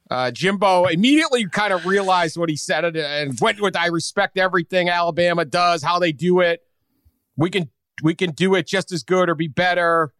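A man's voice: high voice, fairly bright tone, dry recording, clean studio sound. A man with a Boston accent hosting a sports podcast in casual, joking chat. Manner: joking, sardonic. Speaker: podcast host.

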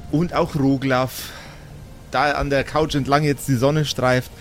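A man's voice: medium pitch, flat delivery, a little slow, soft background music. A man as game master, improvising the story of a tabletop role-playing podcast in a playful, teasing way.

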